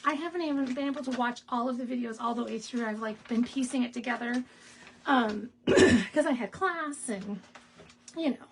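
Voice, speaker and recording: A raspy woman with a gravelly, husky voice keeps clearing her throat as she hosts a live craft demo. Her voice sits a bit high, and she chats in a rambling way with quick asides. She moves nearer to and farther from the mic. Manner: chatty and rambling, with laughs and asides. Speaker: stamping demonstrator hosting a live video.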